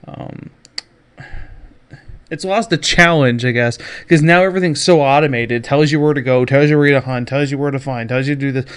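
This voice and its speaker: A man's voice: medium pitch, normal speed, clear, resonant tone. Male podcast host, casual solo gaming commentary, blunt and opinionated, sweary, prone to sarcastic asides. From Canada.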